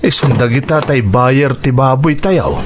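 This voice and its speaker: A man's voice: medium pitch, a little slow, noisy background, lightly acted. A man with a Filipino accent playing a kindly, hardworking pig-farmer father in an Ilocano radio drama. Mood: fatherly, encouraging.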